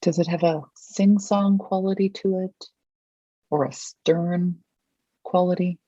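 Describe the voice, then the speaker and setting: Guide for a visualization exercise: very slow, mellow and husky, gentle prompting, long pauses, dry dull recording. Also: mid-range voice, steady tone, no room sound.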